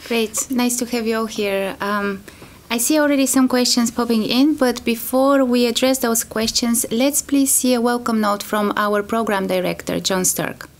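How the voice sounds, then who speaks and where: A woman's voice: on the high side, moderate pace, a little hiss or hum. A woman lecturer hosting a studio webcast panel, polite and a little hesitant, with frequent fillers. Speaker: host and lecturer.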